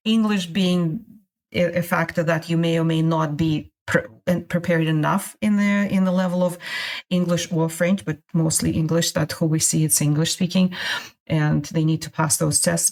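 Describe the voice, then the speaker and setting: A middle-aged woman from Russia, a guest on a podcast interview, talking in halting phrases with many restarts. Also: fairly low pitch, a little mellow. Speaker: guest, immigration consultant.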